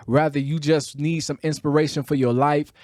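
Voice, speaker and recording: A man's voice: a little high, level tone, moderate pace, darkish tone, no room sound, clean studio sound. A man with a Chicago accent hosting a motivational podcast in a preacher-like, emphatic and colloquial way, hammering key phrases through repetition. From Chicago, United States. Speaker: podcast host, motivational speaker. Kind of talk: motivational talk with preacher-like delivery.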